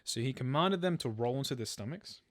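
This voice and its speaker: A man's voice: mid-range voice, lively intonation, brisk, dry recording. A man telling a true crime story as a podcast host, partly from a script. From Australia.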